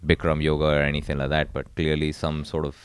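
A man in casual chat, rambling and halting, often trailing off quietly mid-thought. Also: a bass voice, completely flat delivery, clean tone.

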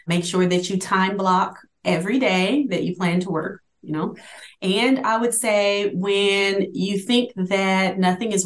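A middle-aged woman talking as a guest in a casual interview, her voice picking up noticeable echo from the room. Her replies are brisk and affirming, and her pitch sits in an ordinary middle range. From Hawaii. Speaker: guest, managing broker. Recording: echoey room.

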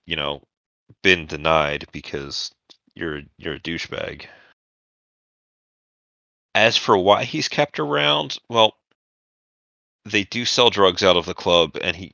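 A man narrating a tabletop roleplaying session as game master, in a halting, informal storytelling manner. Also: sits low, vocal fry, pushed and bright, dry recording, lo-fi sound.

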